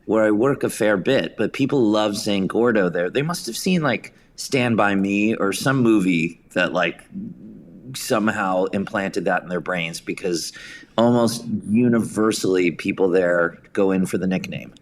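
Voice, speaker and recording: American male theater director as interview guest; lowish voice, wry, self-deprecating, conversational storyteller. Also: unhurried. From suburban New York. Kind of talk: casual anecdotal storytelling.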